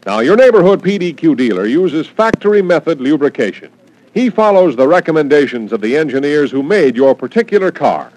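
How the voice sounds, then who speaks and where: A man reading a commercial in an emphatic, deliberate 1940s radio announcer style. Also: a little high, sing-song, a little mellow, no room sound, low-quality recording.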